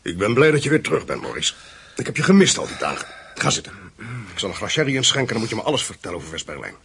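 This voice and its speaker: An actor playing a smug, affectionate criminal mastermind in a radio play, gravelly and suave, patronising in manner. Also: male voice, on the low side, sing-song, rapid-fire, fairly bright tone, full character voice. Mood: fond, brisk.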